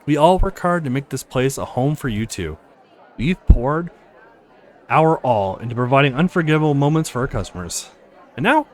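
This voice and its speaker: A man voicing a proud, gracious club owner giving a formal welcome speech in a visual novel. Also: a little high. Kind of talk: acted visual novel dialogue.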